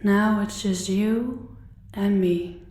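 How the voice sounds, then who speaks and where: A woman acts a sadistic crime boss in an audio drama. She is measured and condescending, with polite menace, heard in an echoey room a little away from the mic. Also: mid-range voice, darkish tone, far from the mic. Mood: menacing, gloating. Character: sadistic female crime boss, menacing, calmly toying with captive. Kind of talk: audio roleplay acting.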